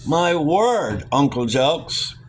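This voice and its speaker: A man's voice: on the high side, big pitch swings, extremely slow, a little hiss or hum, pitched above the performer's own voice, exaggerated voice. A man voicing a plucky, hard-up young woman in an audiobook, chatty and cheerfully wry. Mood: playful, curious.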